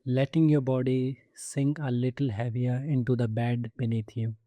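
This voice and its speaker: A man guides a relaxation meditation in a very warm, dark, relaxed voice at a medium pitch. His delivery is soothing and gentle, with space left between short instructions. The sound is dry and intimate. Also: moderate pace, dry recording. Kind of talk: guided body scan meditation.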